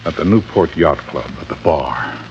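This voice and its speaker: A gruff middle-aged father with a very deep voice in an old radio drama. He is brusque, plain-spoken and defensive, heard on a muffled, slightly noisy vintage recording. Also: animated, moderate pace. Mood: certain, insistent.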